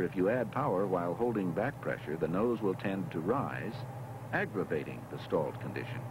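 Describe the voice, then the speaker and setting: A man's voice: low-pitched, background noise. An American man narrating a scripted training film in an instructive, emphatic way, on a 1970s film soundtrack.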